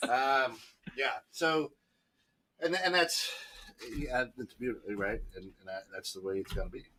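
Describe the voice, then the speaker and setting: A man from Poland, hosting a casual talk radio chat with halting restarts. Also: mid-range voice, background noise. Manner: halting, with many restarts and laughs. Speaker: radio show host.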